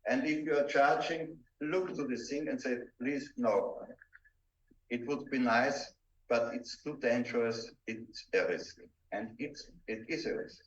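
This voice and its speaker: A man with an Austrian accent, a native German speaker, presenting a seminar in halting, simple English with deliberate emphasis. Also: medium pitch. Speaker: presenter instructing dog-trial judges. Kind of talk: seminar lecture. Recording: dull, echoey room, distant microphone.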